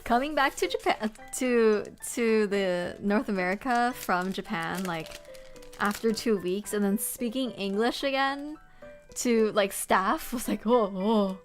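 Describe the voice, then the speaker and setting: Woman rambling to her stream, laughs a lot, mid pitch, quiet music behind. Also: a little slow. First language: Korean. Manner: chatty, rambling, laughs often. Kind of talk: casual livestream chat while organizing.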